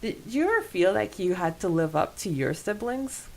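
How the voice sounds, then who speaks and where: A woman's voice: medium pitch, very animated, moderate pace, darkish tone. A woman co-hosting a podcast, talking in a chatty, informal way about a book. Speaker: podcast co-host. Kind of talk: casual book discussion chat.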